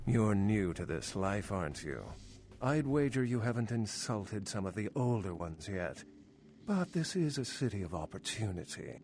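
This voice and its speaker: An actor playing an urbane, wry older vampire in a video game, speaking in a measured, formal, dryly sardonic way. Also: male voice, on the low side, big pitch swings, darkish tone, a little hiss or hum. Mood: wry, probing. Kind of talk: video game character dialogue.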